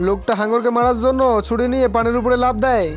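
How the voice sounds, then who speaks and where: A man's voice: extremely high pitch, steady tone, fast talker. A man doing informal comic narration, mocking and full of exclamations. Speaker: cartoon recap narrator. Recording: music behind the voice. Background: background music.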